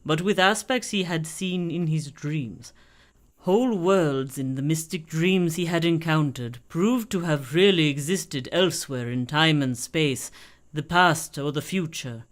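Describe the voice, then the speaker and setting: Cryptic learned swami, fairly high male voice, formal, measured, knowing, radio play. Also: a bit bright. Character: learned, cryptic swami versed in dream worlds.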